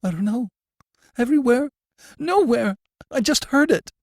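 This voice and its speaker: A man voicing a terrified male prisoner who stammers and pleads in a high, strained, cracking voice, as in an audiobook. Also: expressive, moderate pace, no echo, clean studio sound, heavily acted. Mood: sobbing, stammering. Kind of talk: audiobook character voice.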